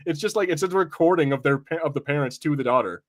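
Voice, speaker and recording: A man's voice: fairly high pitch, quick pace. Casual, rambling man on a podcast. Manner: rambling, informal, sweary. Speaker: podcast host. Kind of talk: casual review chat.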